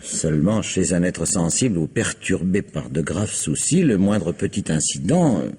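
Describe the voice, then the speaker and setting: A formal, cautious notary in a vintage radio drama. His very deep voice is mellow and strongly breathy. He weighs his words with polite, hesitant pauses. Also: sing-song, exaggerated voice. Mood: measured. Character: cautious, formal middle-aged male notary.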